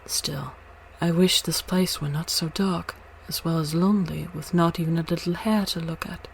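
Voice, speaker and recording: A woman's voice: on the low side, fairly even intonation, moderate pace, close to an everyday voice, poor audio. A woman voicing a naive young fir tree in a storybook, wistful yet hopeful, with background noise. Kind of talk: storybook character voice.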